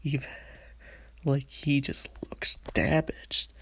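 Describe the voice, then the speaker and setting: A man's voice: mid-range voice, animated, slow pace, gravelly, fairly bright tone, breathy edge, whispered. A man with a stuffy, under-the-weather voice, eagerly recapping a cartoon episode in a casual solo review.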